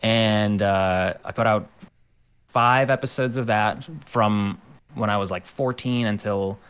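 Smooth low-voiced man, rambling. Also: fairly even intonation, slow pace, fairly bright tone. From Ukraine. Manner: rambling, with many fillers and restarts. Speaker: guest, animator and musician. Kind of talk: casual interview chat.

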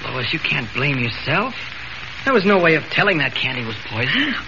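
An actor playing a steady, reassuring reporter who is secretly a superhero, in a 1940s radio serial style. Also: male voice, fairly high pitch, normal speed. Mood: calm, reassuring. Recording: noisy, muffled vintage radio recording.

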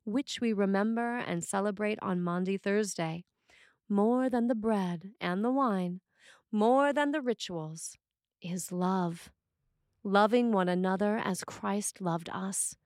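A woman reads a prepared sermon as a priest would. Her phrasing is deliberate and measured, at an average pitch for a woman. The sound is dry, with almost no room in it. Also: a little mellow, dry recording. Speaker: priest reading a written sermon. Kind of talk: scripted sermon reading.

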